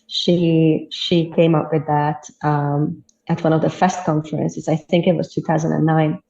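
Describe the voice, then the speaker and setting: A woman, a storyteller chatting in an interview, thoughtful and quick to laugh. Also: deep voice, level tone, gravelly, mellow. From Hungary. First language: Hungarian. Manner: thoughtful, easy laughs, restarts mid-sentence. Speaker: storyteller guest. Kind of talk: casual interview chat. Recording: dull roomy sound.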